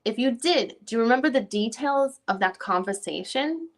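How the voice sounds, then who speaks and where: A woman's voice: fairly high pitch, lively intonation, moderate pace. A woman reading the part of a probing lawyer questioning a witness at a deposition. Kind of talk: dramatic reading of legal questioning.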